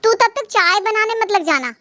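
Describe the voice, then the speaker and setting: Casting: woman as dutiful young cartoon daughter-in-law, very high voice, earnest and well-mannered. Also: lively intonation, moderate pace, pushed and bright, no echo, exaggerated voice. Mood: cheerful polite. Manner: earnest, courteous. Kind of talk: cartoon moral story acting.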